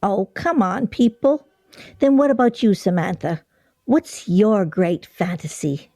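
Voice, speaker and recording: A woman's voice: medium pitch, unhurried, mellow, no echo, studio quality. An actress in a radio play playing an insistent, questioning woman nearing fifty who prods with emphatic delivery. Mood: probing, insistent.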